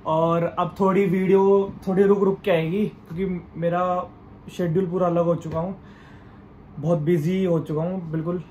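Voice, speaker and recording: A man's voice: high-pitched, level tone, normal speed, dark tone, slight background noise, rough recording. Chatty young man vlogging his day. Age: young adult. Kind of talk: casual daily vlog. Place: small room at home and outdoors. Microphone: phone camera at arm's length.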